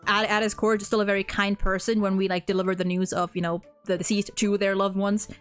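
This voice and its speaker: A woman's voice: mid-range voice, level tone, quick pace, faint music behind. A woman hosting a casual, unscripted game discussion, speaking in an emphatic, opinionated, rambling way. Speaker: host of a video game story ranking discussion.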